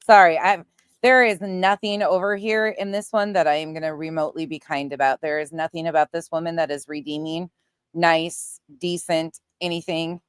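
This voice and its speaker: A woman with a somewhat low voice, recorded very dry with little room sound. She talks casually as a livestream host reacting to someone, with sarcasm and blunt, sweary mockery. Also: level tone, moderate pace, smooth, no room sound. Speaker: livestream host reacting to another creator's stream.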